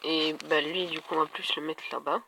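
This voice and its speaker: A man's voice: on the high side, fairly even intonation, fairly quick, gravelly, background noise. A man with a head cold giving casual gameplay commentary, thinking aloud and hesitating as he talks to his viewers. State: stuffed up with a cold. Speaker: gaming video creator.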